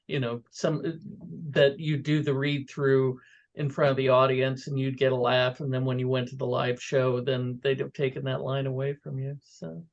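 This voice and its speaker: A man's voice: mid-range voice, level tone, normal speed, gravelly. A man interviewing in a casual, chatty way, as one fan talking with another, on a lo-fi recording. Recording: dull, lo-fi sound.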